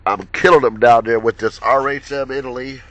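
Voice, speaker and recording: A man's voice: mid-range voice, fairly even intonation, fairly quick, a bit bright, no room sound. A man making CB radio contacts, repeating names and call signs in chatty operator patter. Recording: dull, muffled lo-fi sound.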